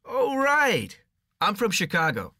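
Woman playing an upbeat young guy, bright voice, bouncy pitch. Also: huge pitch swings, slow, no echo, studio quality, exaggerated voice. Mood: cheerful. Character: cheerful young American man. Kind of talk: coursebook dialogue reading.

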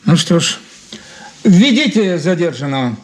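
An actor playing a polite, needling police interrogator in a radio drama. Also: male voice, a little high, very animated, measured pace. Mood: courteous.

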